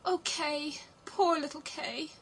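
A woman's voice: high voice, sing-song, a little fast, fairly bright tone, breathy edge, pitched above the performer's own voice, full character voice. A woman voicing a timid, tender little girl from a storybook, earnest and pleading. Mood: sorrowful. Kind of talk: storybook character voice.

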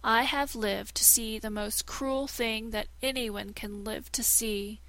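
A woman's voice: on the high side, steady tone, takes it slowly, fairly bright tone, poor audio. A woman voicing a haughty, scheming male shadow who now acts as master and speaks in commanding, old-fashioned thee-and-thou phrasing. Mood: feigning distress.

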